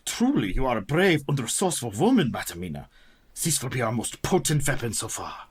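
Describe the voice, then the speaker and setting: An actor playing a grave, kindly professor and vampire hunter in a radio play, speaking in formal, earnest, courtly phrases. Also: male voice, medium pitch, very animated, brisk, slightly rough. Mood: reassuring. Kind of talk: radio play dramatic acting.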